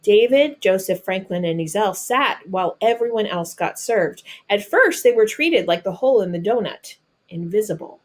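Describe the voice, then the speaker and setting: A woman with an American accent reads a picture book aloud like a teacher, pausing to explain things simply and patiently to children. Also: medium pitch, animated. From North Carolina, United States.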